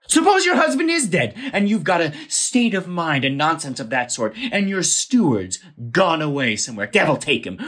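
Casting: woman as blustering male landowner in stage farce, husky voice, wildly animated delivery, constantly exasperated. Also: medium pitch. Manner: ranting, exasperated, theatrical. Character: irate, blustering male landowner demanding his money. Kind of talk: theatrical audio play acting.

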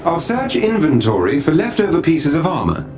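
Courteous, formal AI butler, higher-pitched. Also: male voice, wildly swooping pitch, moderate pace. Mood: polite, composed. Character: polite, deferential male AI butler assistant, calm and precise. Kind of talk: video game cutscene acting.

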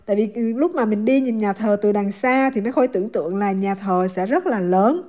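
A woman's voice: medium pitch, fairly quick, darkish tone. A woman with a southern Vietnamese accent narrating a casual travel vlog in a chatty, affectionate way to her viewers. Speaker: travel vlogger.

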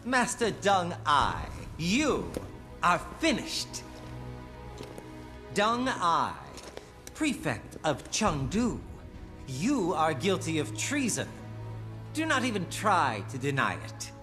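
An arrogant, high-voiced scheming general. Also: male voice, wildly swooping pitch, music in the background, full character voice. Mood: accusing. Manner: haughty, mocking, deliberate. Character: arrogant, scheming young male general, coldly accusing. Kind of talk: dramatic game cutscene acting.

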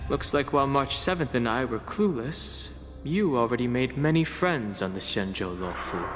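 A man voicing a calm, polite young traveler. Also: mid-range voice, moderate pace, close to an everyday voice. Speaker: game character. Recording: studio voice over music in long reverb.

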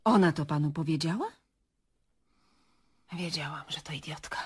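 An actress playing a cornered female journalist in a radio drama, speaking in a hushed, halting and husky way. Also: sits low. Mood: contemptuous. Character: cornered female journalist confessing, contemptuous then defensive.

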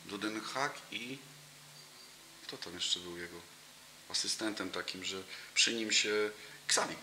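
A man's voice: on the low side, takes it slowly. A man in his thirties, a football coach as interview guest, talking casually with many fillers and restarts, recorded on a table mic in a cafe room. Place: cafe room with brick wall and sofa. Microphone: table mic in front of him. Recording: roomy, noisy room.

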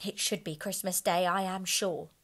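A woman voicing an indignant, sharp-tongued mother of a poor family, loud and scornful. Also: on the low side, steady tone, normal speed.

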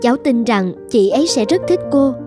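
A woman playing a considerate but firm young mother in a cartoon, speaking gently and politely as she explains. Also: on the high side, lively intonation, moderate pace, over music, close to an everyday voice. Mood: apologetic. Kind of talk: cartoon voice acting.

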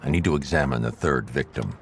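An actor playing a grim, stoic vigilante detective in a video game, terse and analytical. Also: male voice, extremely low pitch, brisk, slight background noise.

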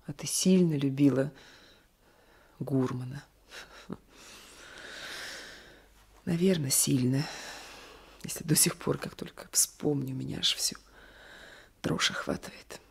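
A troubled young village wife in a radio drama. Her voice is husky and creaky, with breathy, emotional delivery. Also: rumbling low, unhurried, long pauses, darkish tone, full character voice. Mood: anguished. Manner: breathy, emotional, drops into whispered asides. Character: troubled young peasant wife hiding an old love. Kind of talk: Soviet radio play acting. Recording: 1980s radio drama recording.

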